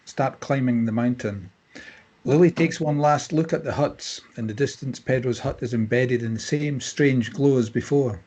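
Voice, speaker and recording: A man narrates a screenplay, reading out scene headings and action. His voice sits at an average pitch and has a husky, unpolished grain. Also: darkish tone, poor audio. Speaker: narrator reading stage directions.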